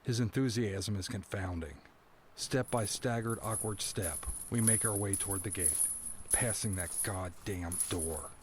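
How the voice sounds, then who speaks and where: An actor playing a bitter, doomed prisoner who narrates a first-person audio drama with brooding, dramatic phrasing. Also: male voice, on the low side, a little slow, slight background noise, close to an everyday voice. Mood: grim, wary.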